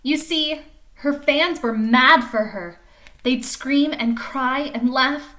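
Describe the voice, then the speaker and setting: A woman with a fairly high, very bright voice reads a storybook aloud to children. Her delivery is animated and expressive, with chatty explanations and questions in the manner of a teacher. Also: takes it slowly. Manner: animated, explains and asks questions of young listeners. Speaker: teacher reading a picture book aloud.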